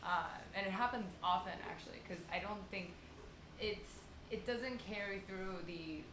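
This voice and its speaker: A woman at a business dinner sharing a lively, chatty story about a client. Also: mid-range voice, moderate pace. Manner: lively, chatty, acts out exchanges. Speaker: marketing consultant in the audience. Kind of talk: casual storytelling. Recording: echoey room with background noise.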